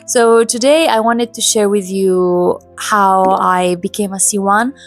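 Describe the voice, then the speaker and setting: A woman's voice: medium pitch, fairly even intonation, unhurried, faint music behind. A woman in her twenties, a native Italian and Polish speaker, hosting a casual vlog in a chatty, emphatic, personal way. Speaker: host. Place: home in Poland.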